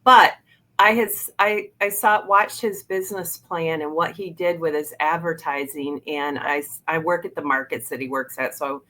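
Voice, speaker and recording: A woman's voice: deep voice, steady tone, normal speed, pushed and bright. A middle-aged woman hosting an interview in a casual, chatty way, often restarting her sentences with ums. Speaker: podcast host.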